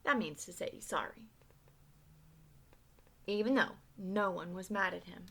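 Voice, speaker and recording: A young woman at home teaching children new words and reading them a storybook aloud, with lively expression and soft whispered asides. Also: lowish voice, unhurried, gravelly, a little hiss or hum. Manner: animated, drops to whispers for asides. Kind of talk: storybook read-aloud with vocabulary lesson.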